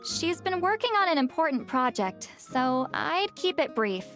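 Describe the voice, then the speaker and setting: A woman's voice: high-pitched, sing-song, measured pace, fairly bright tone, soft background music, heavily acted. An actress playing a reserved, frank young woman in a video game, speaking in a casual, slightly hesitant way.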